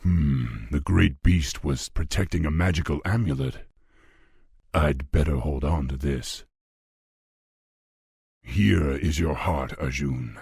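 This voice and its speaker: A stern young orc war chief, with a very low, heavily creaking voice. He talks slowly and with a commanding weight, husky and rough, in the thoughtful style of a fantasy game hero. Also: darkish tone, no echo, clean studio sound, full character voice. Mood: measured. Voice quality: deep, gravelly, husky. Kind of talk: fantasy video game acting.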